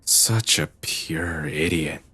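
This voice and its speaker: Man acting low-voiced aloof genius detective, deadpan. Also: slow, slightly rough, full character voice. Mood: curt, dry. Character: aloof, eccentric young male genius detective, reclusive and weary. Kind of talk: anime dub voice acting.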